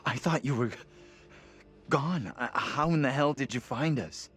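An actor playing an earnest, determined middle-aged male professor in a horror game, speaking gravely and insistently. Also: medium pitch, unhurried, fairly bright tone, faint music behind, natural voice. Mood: relieved. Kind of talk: cinematic horror game dialogue.

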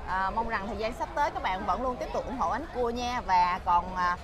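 A woman's voice: mid-range voice, a little fast, a crowd in the background. A young woman with a southern Vietnamese accent chatting casually as a vlog host, recorded outdoors on a seaside restaurant terrace. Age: young adult. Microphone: small clip-on mic on chest.

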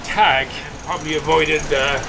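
A man's voice: on the high side, fairly even intonation, moderate pace. Man explaining things over boat and wind noise. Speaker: sailing vlogger. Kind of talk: casual explanatory vlog talk. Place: outdoors aboard a sailboat at sea. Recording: noisy with wind, water and boat sounds.

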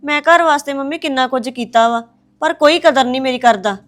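A woman's voice: high voice, a little fast, close to an everyday voice. A woman playing a hurt, defensive daughter-in-law. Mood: pleading. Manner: pleading, defensive, tearful. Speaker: daughter-in-law in a family drama. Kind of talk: domestic drama acting.

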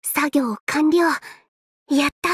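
A woman's voice: high voice, no room sound, clean studio sound. A woman voicing a shy, self-effacing young android fighter in an anime game, hesitant and earnest, with a tendency to stammer. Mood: earnest, focused. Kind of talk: Japanese anime game voice lines.

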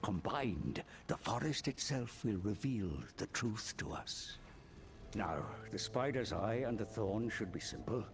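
A hearty woodland druid for a fantasy game. His low voice rises and falls widely as he rambles in a folksy way, with noisy ambience behind him. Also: lively intonation, a little slow. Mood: earnest, concerned. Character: hearty bearded druid grateful for his rescue. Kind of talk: video game character acting.

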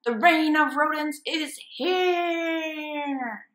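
A woman's voice: high voice, very slow, a bit bright, pitched above the performer's own voice, exaggerated voice. A woman voicing a grandiose hamster supervillain from a comic storybook, declaiming pompously as a gloating evil genius. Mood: angry, defiant.

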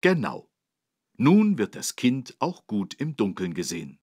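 A husky-voiced man narrating a children's learning game, explaining things in an encouraging, animated way with careful phrasing. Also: mid-range voice, unhurried, no room sound, clean studio sound. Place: dry studio.

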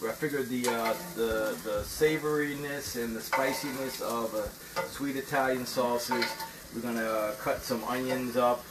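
A man's voice: mid-range voice, steady tone, unhurried, noisy background. A man in his fifties hosting a live cooking stream from his home kitchen, chatting casually and playfully while he cooks. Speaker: cooking show host.

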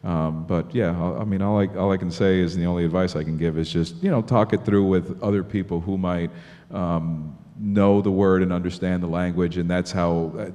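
Male panelist from Miami, 30s, low-ish voice, reverberant conference hall, table mic. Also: moderate pace. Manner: animated, thinking aloud with many restarts. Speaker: panelist journalist. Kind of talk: panel discussion answer. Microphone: table mic on stand.

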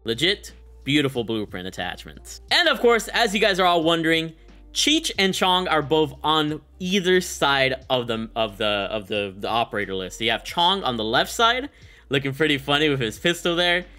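A man's voice: fairly high pitch, lively intonation, moderate pace, bright, forceful tone, soft background music. A young man hosting a gaming video with casual, hyped, slangy commentary. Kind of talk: casual commentary on a game showcase.

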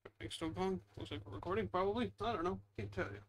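A man with a slightly high voice hosts a gaming video in a casual, rambling way. He mutters self-deprecating asides as he plays. The home headset recording has some faint noise. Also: racing. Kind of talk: casual gameplay commentary.

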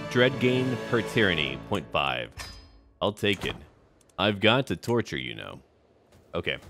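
A man's voice: deep voice, fairly bright tone. A man narrating a strategy game roleplay in a theatrical, in-character manner. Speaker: gaming video host. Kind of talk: scripted story narration and game commentary. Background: background music.

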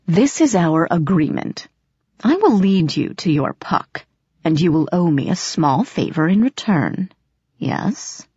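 A woman's voice: on the low side, very animated, takes it slowly, dark tone, slightly rough, heavily acted. A haughty male faery cat, as voiced by a woman narrator in a storybook reading. He talks down to his listener in formal, disdainful phrases. The sound is a little dull and roomy. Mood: cool, measured warning.

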